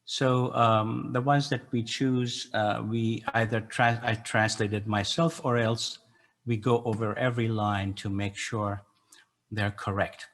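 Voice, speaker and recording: An older man, a native Persian speaker, giving an informal explanatory talk as an educator in a halting, reflective way. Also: fairly low pitch, level tone, a bit bright. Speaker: educator and translator leading a poetry gathering.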